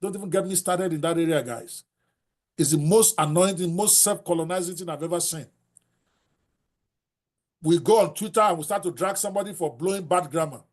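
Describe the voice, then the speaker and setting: Older nigerian guy, yoruba accent, kinda high voice, blunt, teaches writing books. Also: brisk, pauses often. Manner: blunt and animated, mixes in Yoruba phrases. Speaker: host.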